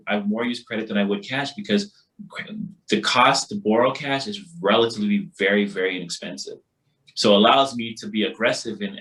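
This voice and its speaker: Male workshop instructor from Barbados, mid-pitched, emphatic and colloquial, motivational teaching style. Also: a bit bright, low-quality recording. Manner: emphatic, colloquial, repeats catchphrases. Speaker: financial literacy workshop instructor.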